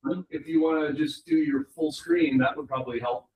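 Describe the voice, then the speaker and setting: Male call participant, fairly high voice with a husky, rough edge, casual and conversational. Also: big pitch swings, normal speed, darkish tone, rough recording. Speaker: audience member on the call. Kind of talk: casual chat. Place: video call.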